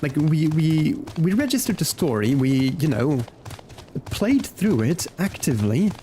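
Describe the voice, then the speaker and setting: A man chatting casually as a game streamer, informal and halting as he thinks aloud. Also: a little high, sing-song, warm tone, slight background noise. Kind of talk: casual livestream chat during gameplay. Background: game music.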